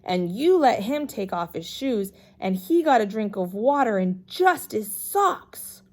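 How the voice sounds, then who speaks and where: A woman's voice: medium pitch, big pitch swings, unhurried, a little mellow. A woman voicing a forward, chatty little schoolgirl in a storybook, earnest and tattling.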